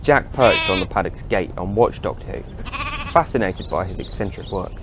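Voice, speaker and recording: A man's voice: sits low, animated, normal speed, noisy background. A man, reading scripted narration for an audio story.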